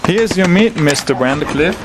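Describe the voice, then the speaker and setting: A man playing a greedy, scheming small-town butcher in a horror audio drama, expressive and furtive. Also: a little high, normal speed, very noisy, natural voice. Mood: ingratiating.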